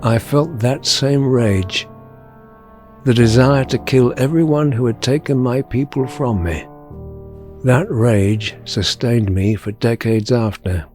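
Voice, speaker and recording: A man playing a grave, remorseful older mutant leader in an audio drama, speaking in a measured, solemn, formal manner. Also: medium pitch, darkish tone, close to an everyday voice. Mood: sorrowful, solemn. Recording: music under the voice.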